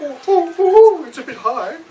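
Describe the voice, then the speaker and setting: A man's voice: extremely high pitch, very animated, normal speed, pitched above the performer's own voice. A man voicing a naive, timid boy who speaks hesitantly in a children's puppet show. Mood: nervous. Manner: hesitant, repeats words, asks the children questions. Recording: far off outdoors, noisy children's crowd.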